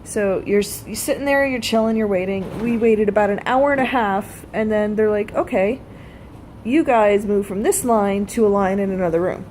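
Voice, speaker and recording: A woman's voice: medium pitch, normal speed, a little mellow, rough recording. Woman vlogging casually over noticeable noise. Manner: blunt, casually profane. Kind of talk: casual personal storytelling vlog.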